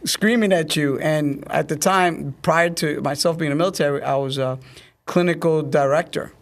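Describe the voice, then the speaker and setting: Middle-aged male interview guest, slightly high voice with gravelly fry, recounting personal experience. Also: normal speed. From Dominican family roots. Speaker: guest, army officer. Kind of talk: conversational interview storytelling.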